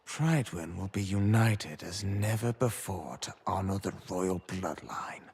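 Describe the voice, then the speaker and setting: A man's voice: low voice, lively intonation, measured pace, a little mellow, slight background noise. A man voicing a scheming older royal minister in a fantasy video game, pompous, sly and condescending. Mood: cold, imperious.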